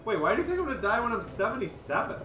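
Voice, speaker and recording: A man's voice: high-pitched, sing-song, racing, roomy sound, noisy background. A man in his thirties, a father co-hosting his child's gaming video, making playful teasing dad jokes in casual chat at home. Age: 30s. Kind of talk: casual chat while watching gameplay. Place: home room, indoors.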